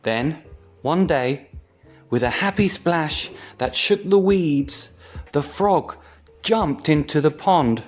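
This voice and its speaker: A man reads a picture book for children at an unhurried pace. He breaks sentences into short, deliberate phrases, and faint music plays along. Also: fairly high pitch, expressive. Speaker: storytime reader.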